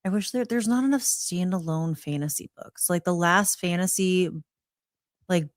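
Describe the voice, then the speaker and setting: A woman's voice: lowish voice, normal speed, no room sound, studio quality. A woman co-hosting a podcast in casual chat, chatty and hesitant, with many "um" and "like". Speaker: podcast co-host.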